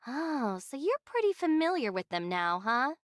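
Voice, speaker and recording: A voice actress playing an earnest young heroine in an anime game, speaking in a direct, inquisitive way. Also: female voice, high-pitched, very animated, normal speed, dry recording, clean studio sound. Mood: curious. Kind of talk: scripted anime game voice acting.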